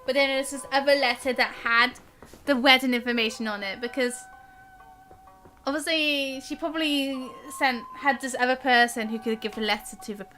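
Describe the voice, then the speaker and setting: A woman's voice: high voice, fairly even intonation, moderate pace, sharp tone, soft background music. A woman live streamer chatting casually and giggly while she plays a game.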